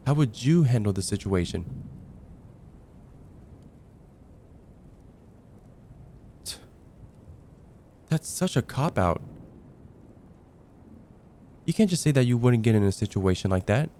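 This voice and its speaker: A young man playing a casual heartbreaker friend confiding about dating in a conversational, hesitant ASMR roleplay, with sighs and trailing pauses. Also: mid-range voice, expressive, dark tone, natural voice. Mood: mildly defensive. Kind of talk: ASMR roleplay, acted one-sided conversation.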